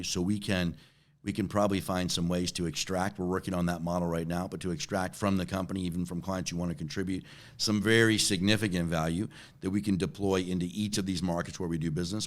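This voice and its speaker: A man in his forties answering interview questions conversationally, repeating words and often checking in with a "right?". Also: low voice. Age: 40s. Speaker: interview guest, company chief executive.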